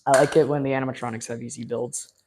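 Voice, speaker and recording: A man chatting loosely through a live unboxing, his voice going hoarse from hours of talk. He rambles along with plenty of ums and uhs in a mid-pitched, everyday tone. His enthusiasm carries through the fillers. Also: little pitch movement, fast talker, noisy background. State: losing his voice. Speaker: live-stream host. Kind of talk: casual live unboxing chat.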